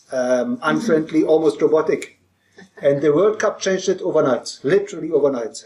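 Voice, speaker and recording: A man's voice: on the high side, a little mellow, echoey room, rough recording. A man in his fifties from Germany with a German accent, giving a conversational presentation to an audience with many fillers and restarts. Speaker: speaker.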